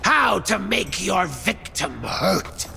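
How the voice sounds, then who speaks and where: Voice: mid-range voice, sing-song, slow, vocal fry, long reverb tail, music in the background, heavily acted. An actor playing a manic, torture-loving masked executioner in a video game, speaking in theatrical sing-song rhymes. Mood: gleeful, sing-song. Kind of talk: video game character acting.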